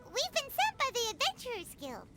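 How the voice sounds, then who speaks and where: Game fairy sidekick: childlike, extremely high voice, excitable, easily spooked, hugely animated delivery. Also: female voice, moderate pace, full character voice. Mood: cheerful, curious. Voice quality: squeaky childlike voice. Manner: perky, third-person self-reference. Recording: music behind the voice. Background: game background music.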